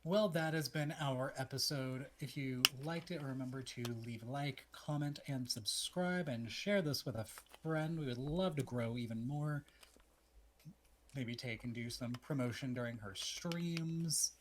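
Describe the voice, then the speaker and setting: American podcast co-host, medium pitch. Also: measured pace, noisy background. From Texas, United States. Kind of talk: casual chat.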